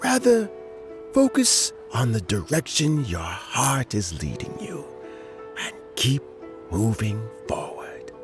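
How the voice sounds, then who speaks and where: An older man playing a wise, measured elder adviser in a video game, deliberate, sage and expressive. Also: medium pitch, music in the background. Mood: sage, reflective.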